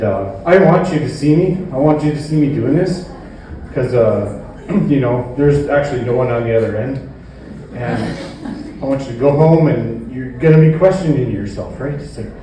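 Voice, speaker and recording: A man doing a stand-up comedy routine on stage, with a halting, rambling delivery. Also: mid-range voice, mellow. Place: indoor comedy venue stage. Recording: echoey room, distant mic, faint noise.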